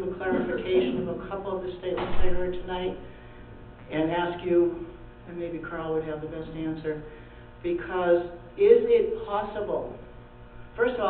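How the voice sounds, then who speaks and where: A man, a resident, putting a roundabout, hesitant question at a public town meeting in a large room. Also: a little high. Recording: echoey hall, muffled, distant, mains hum.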